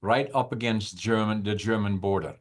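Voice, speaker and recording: A man in his eighties from the Netherlands, a podcast guest who talks over a video call and recalls his childhood in slow, reflective stories with many pauses. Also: fairly low pitch. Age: 80s. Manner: unhurried, reflective, with frequent "uh" pauses. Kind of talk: conversational storytelling.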